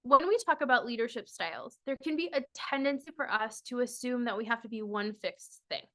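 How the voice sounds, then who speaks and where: A woman whose first language is Spanish gives a measured webinar presentation over a video call, her pitch falling at the ends of phrases. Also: pushed and bright. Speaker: workshop presenter and consultant.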